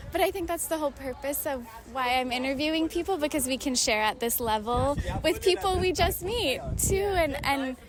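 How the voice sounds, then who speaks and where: High-pitched appreciative woman host. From Hawaii. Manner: gushing, appreciative, laughs often. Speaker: podcast host. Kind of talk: warm interview chat. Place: outdoors by a city river.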